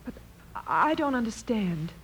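An anxious young frontier woman with a highish, very animated American voice. She is earnest and emotional, in the manner of old radio drama. The recording is slightly noisy. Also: a little slow. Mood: worried. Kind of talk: 1950s radio western acting.

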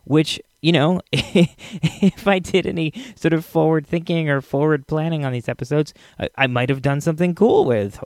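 A man's voice: mid-range voice, a little mellow, no room sound, studio quality. A man hosting a podcast, talking casually in a rambling, enthusiastic way. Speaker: podcast host.

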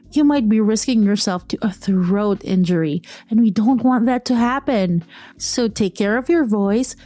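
A woman's voice: on the high side, mellow, no echo. A woman with a Filipino accent, a podcast host giving tips in a direct, teasing way, mixing English and Tagalog.

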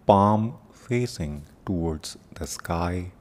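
Very slow, deep-voiced man guiding meditation. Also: expressive. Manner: solemn, deliberate, with long pauses between short phrases. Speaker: meditation teacher and podcast host. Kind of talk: guided meditation with prayerful invocation.

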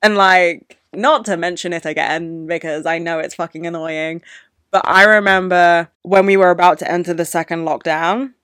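A woman's voice: lowish voice, steady tone, normal speed, a bit bright, no echo, studio quality. A young woman hosting a solo podcast, talking off the cuff with emotion and plenty of swearing. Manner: emotional, swears a lot, ranting. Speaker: podcast host. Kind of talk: unscripted solo podcast monologue. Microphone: podcast microphone.

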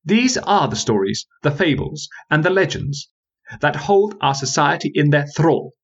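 A man voicing a sharp, skeptical young woman lawyer who speaks in a cutting, coolly dismissive way. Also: a little high, sing-song, a little slow, slightly rough, muffled recording, pitched above the performer's own voice, exaggerated voice. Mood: scornful.

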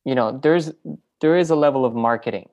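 A woman filmmaker and teacher, in a relaxed interview, who talks in loose, rambling sentences full of fillers and restarts. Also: very deep voice, brisk, lo-fi sound. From French Venezuelan, raised in New York. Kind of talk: casual interview conversation.

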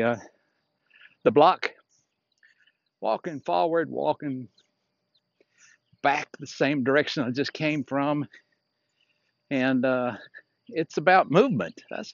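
A man in his sixties giving an unscripted, reflective talk as a fitness instructor while walking outdoors, in a halting, folksy way with frequent pauses. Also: a little high, vocal fry, dry recording, lo-fi sound. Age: 60s. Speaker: fitness instructor and podcast host.

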